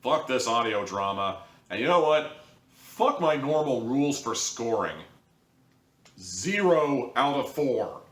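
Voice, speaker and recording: A man's voice: medium pitch, animated, slow pace, fairly bright tone. A man hosting an opinionated review, speaking in an exasperated, emphatic way. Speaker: host, audio drama reviewer. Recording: echoey room, distant from mic.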